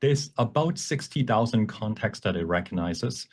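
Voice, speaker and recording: A man with a low voice leads a live workshop demonstration on his computer. He explains step by step, haltingly, as he works through the material. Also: lively intonation. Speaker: workshop presenter. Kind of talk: live software demonstration talk. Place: online presentation at a computer.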